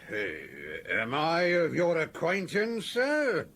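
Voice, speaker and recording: A man's voice: fairly high pitch, huge pitch swings, slow pace, fairly bright tone, slight background noise, full character voice. A man playing a wary, resigned informant in a radio drama, speaking in a guarded, formal manner. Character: wary, resigned male informant facing his killer.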